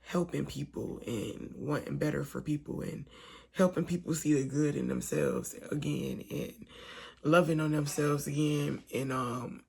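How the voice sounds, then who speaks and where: A young woman vlogging late at night with a hoarse, fading voice, talking softly and partly in a whisper. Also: low-pitched, measured pace. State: hoarse, voice almost gone. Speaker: mom vlogger. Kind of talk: casual talk to camera. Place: home at night, lying down with her baby.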